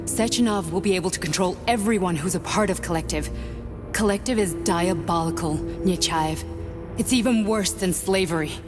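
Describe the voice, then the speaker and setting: A woman as a grave low-voiced scientist, reverberant. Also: moderate pace, close to an everyday voice. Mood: urgent persuasion. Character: intense, persuasive female scientist, defiant and grim. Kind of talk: video game dialogue acting. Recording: long hall reverb, music underneath.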